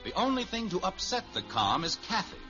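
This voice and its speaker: A man's voice: sits high, big pitch swings, fairly quick, sharp tone. An American man reading scripted narration as announcer of a 1950s radio show. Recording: muffled vintage radio with music behind.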